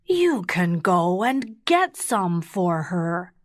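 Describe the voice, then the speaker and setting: Woman voicing a slow, sly storybook wolf. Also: fairly low pitch, very animated, no echo, studio quality, exaggerated voice. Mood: sly, scheming. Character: sly hungry male big bad wolf.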